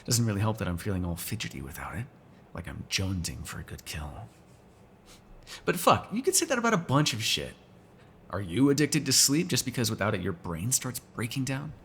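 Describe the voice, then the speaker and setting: A man's voice: fairly low pitch, very animated, a little fast, fairly bright tone, a little hiss or hum. A man playing a sarcastic, teasing vampire who kills without regret, in casual, profane roleplay banter. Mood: defensive, frustrated. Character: snarky male vampire, restless unrepentant killer, teasing. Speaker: voice actor in an audio roleplay. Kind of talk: ASMR roleplay, casual one-sided dialogue.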